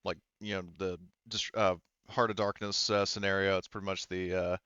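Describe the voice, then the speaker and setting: A man narrating a tabletop roleplaying game as game master, in a halting, informal way. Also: sits low, flat delivery, sharp tone, clean tone, dry recording, lo-fi sound.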